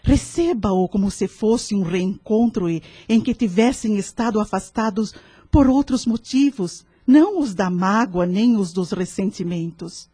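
An actress playing a wise, serene woman who gives kindly spiritual counsel in a radio drama, speaking in a measured, earnest, preachy way. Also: mid-range voice, mellow, slightly rough, no room sound. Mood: gently persuasive.

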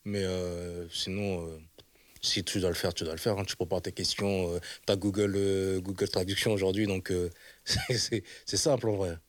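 A man's voice: sits low, flat delivery, no echo. A man, the host of a web channel, answering interview questions in a casual, emphatic way and checking that the listener follows him. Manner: emphatic, rapid, keeps asking "you see what I mean?".

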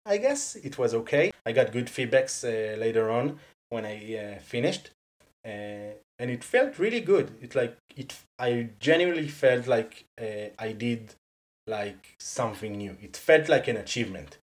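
Israeli accent, Hebrew native speaker, casual interview guest, animated, laughs a lot, many fillers and restarts. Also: mid-range voice, unhurried. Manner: animated, laughs often, leans on "like" and "uh", restarts sentences.

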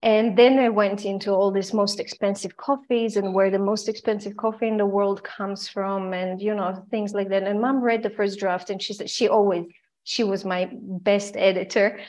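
A woman's voice: mid-range voice, fairly even intonation, moderate pace, darkish tone. A woman from Croatia, a journalist and author, speaking earnestly and reflectively as a guest on an interview podcast, often restarting her sentences. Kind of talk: conversational interview storytelling. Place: home in Vienna, remote call.

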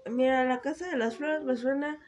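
A woman's voice: high voice, steady tone, moderate pace, darkish tone. A woman, co-hosting a casual podcast chat and answering in short, dry remarks. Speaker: podcast co-host. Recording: noisy home podcast recording.

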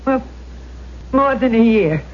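A woman playing a guarded, troubled elderly landlady in a 1970s radio drama, speaking haltingly, stern yet anxious. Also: on the high side, animated. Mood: grave, confessing. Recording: dull, noisy vintage radio recording.

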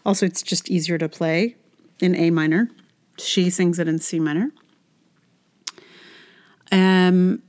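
A middle-aged woman hosting a podcast, chatting casually on her own in a wry, self-deprecating way. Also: mid-range voice. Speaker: podcast host and musician. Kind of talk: casual solo podcast monologue.

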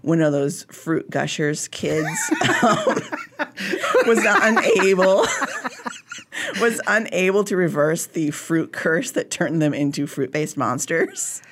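A woman's voice: fairly low pitch, huge pitch swings, slow pace, slight background noise. A woman co-hosting a casual movie podcast, chatting candidly and laughing often. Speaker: podcast co-host.

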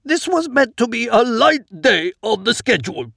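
A man's voice: extremely high pitch, sing-song, normal speed, vocal fry, bright, forceful tone, no room sound, clean studio sound, pitched above the performer's own voice, exaggerated voice. A man voicing a pompous, blustering nobleman who rants and complains in an indignant, raised voice. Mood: panicked.

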